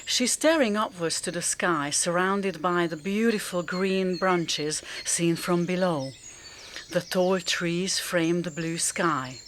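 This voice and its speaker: A woman's voice: fairly low pitch, slow, fairly bright tone, slight background noise. A woman narrating a scripted radio drama as its storyteller.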